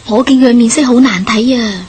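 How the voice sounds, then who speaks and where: A woman's voice: fairly high pitch, moderate pace, darkish tone, husky. An actress playing an uneasy, impatient village woman who nags and frets in a Hong Kong Cantonese horror radio drama. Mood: uneasy, fretful. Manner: fretful, nagging.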